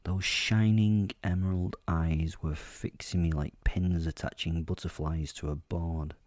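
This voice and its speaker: A man giving brooding, measured first-person narration as a predatory killer in a horror audio drama. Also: deep voice, fairly even intonation, darkish tone, dry recording.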